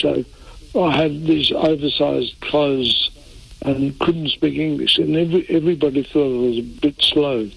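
An older man from Italy, a lawyer answering interview questions in a halting way, full of fillers and restarts. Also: a little high, creaky, husky, rough recording. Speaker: interview guest, defence lawyer.

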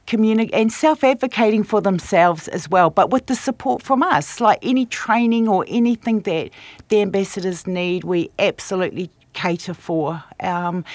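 A woman's voice: mid-range voice, no room sound. Woman, New Zealand accent, chatty, enthusiastic, interview guest, dry recording. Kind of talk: casual radio interview.

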